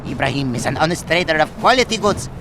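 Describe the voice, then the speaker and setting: An actor playing a servile, self-pitying desert trader with a pleading, theatrical manner in a video game cutscene. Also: male voice, on the high side, lively intonation, fast, noisy background. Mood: fawning, pleading. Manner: fawning, pleading, melodramatic. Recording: music behind the voice.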